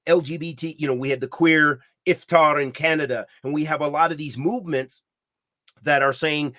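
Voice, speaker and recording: A man with a fairly high voice speaks on a podcast in an emphatic, preaching manner. He restarts his sentences often and scatters Arabic religious terms through his talk. The sound is dry and close. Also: steady tone, moderate pace, no echo. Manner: grows louder and higher when heated. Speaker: podcast co-host. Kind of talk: impassioned podcast discussion.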